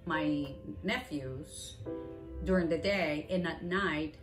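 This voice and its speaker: A woman's voice: low voice, slow pace. A woman from Venezuela whose first language is Spanish, earnestly telling her migration story in long run-on sentences. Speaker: interviewee. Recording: music playing under the voice.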